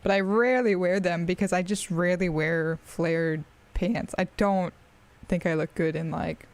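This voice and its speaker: A woman in her twenties from Australia, speaking with an American accent and chatting casually on a live stream, rambling with frequent fillers and laughs. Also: medium pitch.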